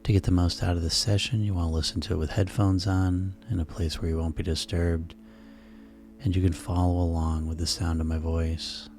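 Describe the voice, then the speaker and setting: A man guiding a sleep hypnosis session in a slow, soothing, repetitive way. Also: low voice, little pitch movement, darkish tone. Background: music.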